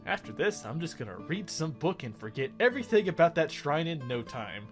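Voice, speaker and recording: A man's voice: fairly high pitch, very animated, fairly quick, soft background music. A man doing casual, rambling game commentary as a let's play host, often correcting himself as he goes.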